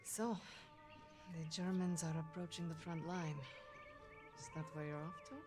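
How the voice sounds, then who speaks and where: A woman's voice: low voice, fairly even intonation, unhurried, over music. An actress playing a teasing, defiant young wartime woman with a Russian accent, in a cinematic game. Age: young adult. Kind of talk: cinematic video game acting.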